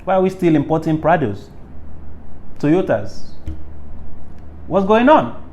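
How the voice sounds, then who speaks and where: A man's voice: on the high side, big pitch swings, slow, darkish tone. A Nigerian man hosting a current affairs show, delivering opinionated commentary in an emphatic, theatrical way with lots of rhetorical questions. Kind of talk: opinionated news commentary to viewers.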